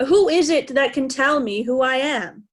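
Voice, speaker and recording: A woman's voice: sits high, normal speed, natural voice. A woman playing a proud, indignant old king in a Shakespearean reading, stately and commanding. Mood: bewildered, bitter.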